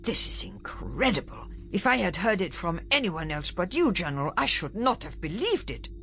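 A woman's voice: lowish voice, very animated, moderate pace, fairly bright tone. A weary, formal senior official, acted by a woman narrator in an audiobook. He speaks carefully and precisely with a slight foreign accent, over faint music. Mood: concerned.

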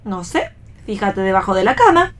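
A woman's voice: medium pitch, big pitch swings, normal speed, a bit bright. An Argentine actress playing a playful, teasing, defiant young wife and mother in a radio play reading.

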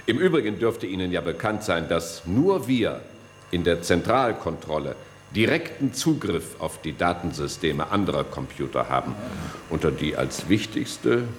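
A lowish, animated voice of an officious government guide in a radio play. He lectures proudly and formally over faint background noise. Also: moderate pace. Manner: formal, explanatory, bureaucratic. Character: proud, officious male control room tour guide. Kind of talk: German radio play acting.

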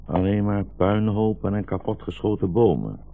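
An actor playing a weary, bitter old blind rabbi fleeing war, solemn and brooding, in a 1960s Dutch radio drama. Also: male voice, fairly low pitch, expressive, moderate pace, vocal fry. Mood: resigned, sombre. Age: older. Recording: dull, muffled 1960s radio recording.

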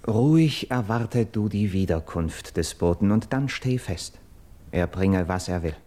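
Mythic Greek nobleman, lowish male voice, urgent, persuasive, declamatory verse delivery, classical radio play. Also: animated, warm tone. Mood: resolute, instructing. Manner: declamatory, elevated, measured stage diction. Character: urgent, persuasive young nobleman of Greek myth, loyal friend.

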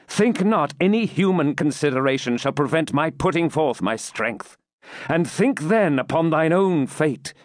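A proud, domineering templar knight, as a man reads him aloud, speaking with fierce, impassioned command. His pitch rises and falls in a lively way. The sound is close and dry. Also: dry recording.

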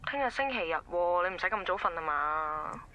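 A woman's voice: lowish voice, animated, normal speed, highs cut off. An actress playing a casual, evasive young Hong Kong Cantonese girlfriend in a radio drama, heard through a telephone filter. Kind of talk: radio drama phone conversation.

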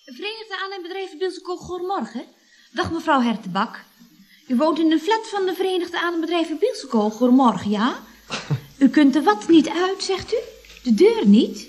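A woman's voice: extremely high pitch, sing-song, normal speed, darkish tone. A woman acting a chatty, breezily teasing secretary at a building firm in a radio comedy, with a gushing, mock-cosy manner. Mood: breezy teasing.

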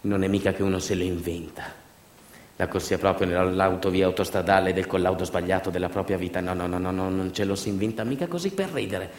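A man performing a theatrical storytelling monologue for radio theatre, emphatic and repetitive, moving between hushed asides and louder delivery. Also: sits low, a little fast. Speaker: stage actor and monologue storyteller. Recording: roomy echo, music behind.

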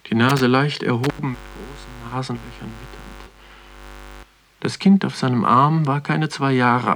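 A contemplative man tells his story in a literary radio drama. His voice sits at an ordinary male pitch, and he delivers the poetic text with measured care. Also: gravelly, fairly bright tone. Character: pensive male first-person narrator strolling through a natural history museum.

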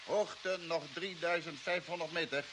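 Male actor as terse, alert space crewman, high voice, radio drama, very noisy recording. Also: measured pace, lightly acted. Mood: focused. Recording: noisy old radio play, heavy hiss.

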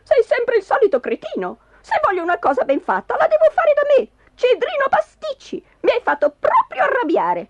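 Shrill scolding wife, played by a woman storyteller, very high, swooping pitch, bossy, excitable, hot-tempered, storybook. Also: moderate pace, hardly any pauses, no echo, highs cut off, heavily acted. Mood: furious, shrieking. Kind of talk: theatrical storybook character voice.

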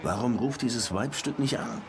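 An actor playing a brusque, world-weary, sardonic Havana police lieutenant in a radio crime play, terse and colloquial. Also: male voice, mid-range voice, normal speed, creaky, a crowd in the background, lightly acted. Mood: frustrated, bitter. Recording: music behind the voice.

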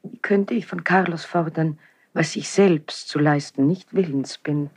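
A woman's voice: sits low, unhurried, breathy edge, no echo. An actress playing a dignified, fervent young queen with a solemn, declamatory delivery in a classical radio drama. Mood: urgent.

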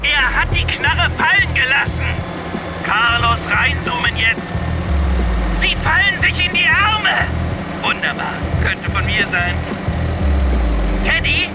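An actor playing a frantic, cynical television reporter in a helicopter, barking orders and swearing in a radio drama. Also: male voice, extremely high pitch, heavily acted, poor audio. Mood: excited, gleeful. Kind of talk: German radio drama acting. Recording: loud aircraft drone and music behind.

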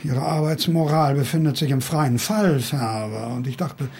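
Radio drama role: sardonic older literature professor, gravelly, badly husky, condescending, fond of grand musings. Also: male voice, medium pitch, normal speed, a little mellow. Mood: mocking, probing. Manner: condescending, needling, philosophizing.

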